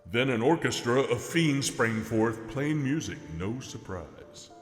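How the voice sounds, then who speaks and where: A man giving a dramatic reading of a horror poem as narrator, in a theatrical, deliberate, rhyming delivery. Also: medium pitch, lively intonation. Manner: theatrical, deliberate, measured in rhyme. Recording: echoey reverberant hall effect, faint music. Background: faint music.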